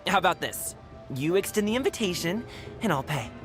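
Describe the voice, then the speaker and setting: Bright, high-voiced, teasing young guard. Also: male voice, expressive, a little fast, faint music behind. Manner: breezy, playful, friendly. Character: cheerful, teasing, generous young male guard. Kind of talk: video game character acting.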